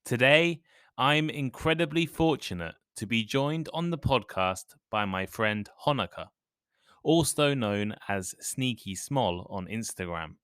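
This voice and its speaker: Clear, measured male podcast host. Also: mid-range voice, expressive, fairly bright tone, dry recording, clean studio sound. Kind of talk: scripted intro then casual interview.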